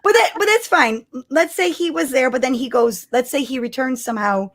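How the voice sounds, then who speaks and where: A woman co-hosting a casual fan discussion, telling things in an animated way and often checking in with the listener. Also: high voice, a little fast. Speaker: podcast co-host.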